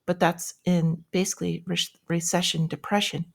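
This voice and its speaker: A woman's voice: fairly low pitch, little pitch movement, normal speed, vocal fry. A woman giving informal news commentary in a plain-spoken, explanatory and cautionary way, as the host of a financial vlog.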